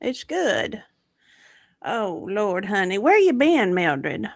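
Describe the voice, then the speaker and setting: A woman's voice: medium pitch, sing-song, slow, gravelly, no echo, clean studio sound. A woman playing a folksy grandma who hosts a chat show, talking in a hesitant drawl. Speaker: host. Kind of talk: improvised comedy chat in character.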